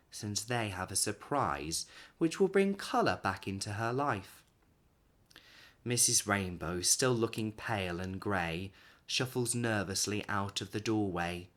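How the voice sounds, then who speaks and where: A man in his thirties reads a children's story aloud expressively, with dramatic pauses and emphasis. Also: medium pitch. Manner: animated, dramatic pauses and emphasis for young listeners.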